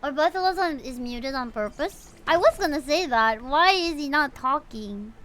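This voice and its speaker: A woman livestreamer chatting casually with her viewers in an expressive, playful way while she plays a story game. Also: high-pitched, normal speed. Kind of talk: casual livestream chat mixed with reading game dialogue aloud.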